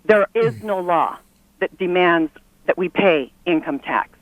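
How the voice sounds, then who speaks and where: An older woman calling a TV phone-in, speaking slowly and haltingly with emphatic, declamatory phrasing. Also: fairly low pitch, no echo. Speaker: phone-in caller. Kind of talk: live call to a TV phone-in. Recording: dull radio-like phone line.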